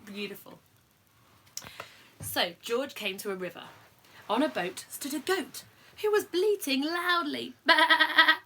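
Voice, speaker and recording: A woman reading a children's picture book aloud in an expressive way, with encouraging asides that prompt a child to join in. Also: high voice, a little slow, long pauses, slight background noise. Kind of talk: animated children's story reading with interactive asides.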